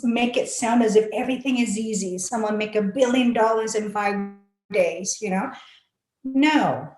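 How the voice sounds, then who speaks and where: A woman's voice: mid-range voice, darkish tone. A woman from Malaysia, giving an earnest, encouraging talk on a webinar panel, full of fillers and restarts. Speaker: panel speaker. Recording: echoey room.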